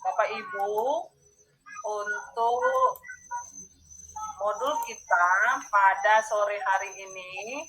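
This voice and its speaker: Female online training facilitator, fifties, from North Sumatra, mid-pitched, friendly and addressing the group, video-call sound. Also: very animated, slow, pauses often, a bit bright, low-quality recording. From North Sumatra, Indonesia. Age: 50s. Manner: addresses listeners often, checking in.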